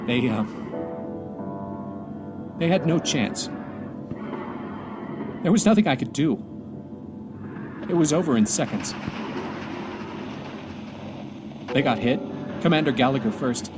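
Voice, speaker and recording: A man performs a stranded astronaut commander in an audio drama monologue. He is weary and grieving, yet holds on to hope, reflective and halting in a medium-pitched male voice. Music plays clearly behind him. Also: animated, close to an everyday voice.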